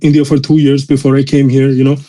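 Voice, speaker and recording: Mellow-voiced man with a mixed European accent. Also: medium pitch, monotone, quick pace. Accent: mixed European accent in English. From Austria. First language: German. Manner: rambling, emphatic, bro slang. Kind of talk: casual motivational chat.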